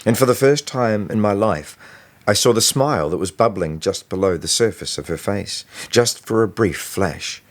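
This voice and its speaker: Deep-voiced man narrating an audiobook. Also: expressive, moderate pace. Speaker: author reading own novel.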